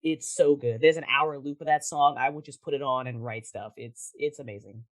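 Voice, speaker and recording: A woman talking casually as a podcast guest, with an animated, emphatic, informal way of speaking. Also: a bass voice, a little fast. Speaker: podcast guest, audio drama creator. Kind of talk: casual interview chat.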